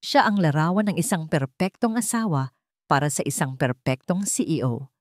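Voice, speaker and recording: A woman's voice: deep voice, lively intonation, normal speed, no room sound, studio quality. A woman with a Filipino accent narrating a scripted romantic drama story in a dramatic storytelling style.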